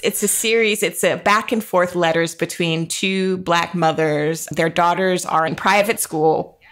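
A woman's voice: lowish voice, fairly even intonation, a little slow, a bit bright. A woman co-hosting a podcast in an enthusiastic, conversational way. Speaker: podcast co-host, author.

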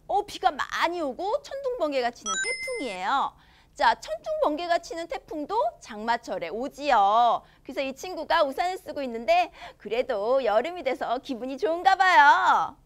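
A woman in her thirties teaching young children English from a storybook in a studio. She reads the English lines slowly and with exaggerated care, then explains them cheerfully in Korean. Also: high voice, a bit bright. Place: studio in front of a green screen.

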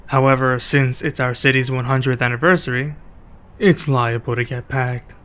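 Guy doing asmr roleplay as a chill friendly werewolf, mid pitch, casual and measured, leaves pauses. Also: a bit bright. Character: laid-back, reluctantly friendly male werewolf local. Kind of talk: ASMR roleplay acting, one-sided conversation.

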